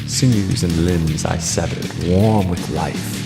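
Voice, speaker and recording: Man acting a gravelly, vengeful tragic king. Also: low voice, exaggerated voice. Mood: gloating rage. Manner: deliberate, theatrical, savouring each phrase. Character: vengeful, gloating king, cruel and wrathful. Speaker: stage actor. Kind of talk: classical verse tragedy acting, declaimed monologue. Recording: long reverb, guitar music underneath.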